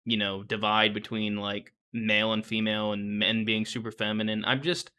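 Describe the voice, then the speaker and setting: Blunt, bright-voiced young man. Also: fairly low pitch, little pitch movement, normal speed, clear, resonant tone. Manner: blunt, opinionated, swears freely. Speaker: podcast co-host. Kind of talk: casual anime review chat.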